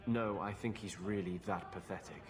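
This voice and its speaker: An actor playing a blunt, wry mage companion in a video game, matter-of-fact in delivery. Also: male voice, low voice, fairly even intonation, moderate pace, natural voice. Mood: dismissive.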